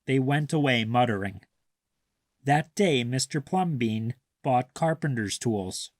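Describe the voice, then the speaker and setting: Slow, lively male storybook reader. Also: mid-range voice, no echo, clean studio sound. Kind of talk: children's read-aloud narration.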